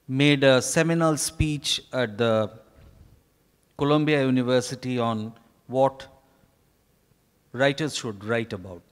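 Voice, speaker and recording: A man in his fifties with an Indian accent gives a formal prepared speech in halting, deliberate short phrases. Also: medium pitch, smooth. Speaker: author addressing an event. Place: stage in a large hotel ballroom. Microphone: handheld microphone. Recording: reverberant hall, live stage microphone.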